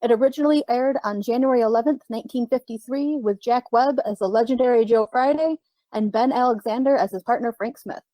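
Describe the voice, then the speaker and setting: Mellow female radio drama announcer. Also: a little high, brisk, no echo, low-quality recording. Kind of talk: scripted radio drama introduction.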